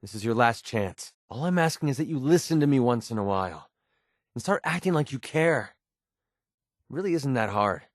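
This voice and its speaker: An actor playing a young, cynical but sentimental mercenary in a video game, talking in a casual, wry way. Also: male voice, mid-range voice, animated, moderate pace, no echo, studio quality, natural voice. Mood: stern. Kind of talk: video game companion voice lines.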